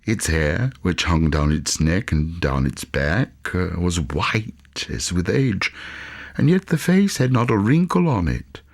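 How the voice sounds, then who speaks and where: A man narrating an audiobook as dramatic storytelling, with theatrical, deliberate phrasing. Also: deep voice, sing-song.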